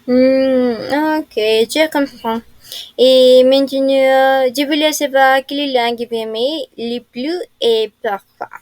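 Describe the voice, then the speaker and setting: A woman puts polite, formal questions as an interviewer, speaking very slowly in a voice pitched a little high. The sound is dry and close, with no room around it. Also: fairly even intonation, a little mellow, dry recording. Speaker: interviewer in a mock admission interview. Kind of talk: role-played job interview.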